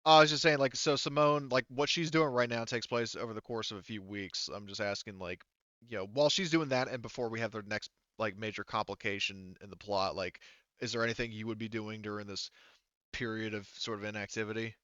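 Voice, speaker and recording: Male game master, smooth low voice, casual rambling delivery, dry close sound, slightly muffled voice-chat audio. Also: steady tone, a little fast, a bit bright, no room sound. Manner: rambling, thinking aloud, casual swearing. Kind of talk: casual tabletop game narration over voice chat. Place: online voice call.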